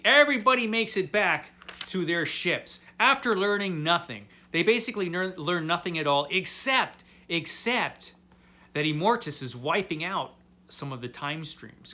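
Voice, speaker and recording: A man hosting a casual, comedic comic book recap, talking to viewers in an animated, tongue-in-cheek, mock-baffled way with emphatic, drawn-out key words. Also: fairly high pitch. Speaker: host of a comic book review series.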